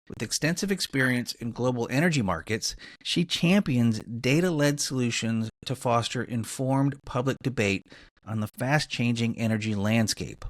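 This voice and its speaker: A man's voice: medium pitch, dry recording, studio quality. A man speaking as a podcast host, introducing and interviewing guests.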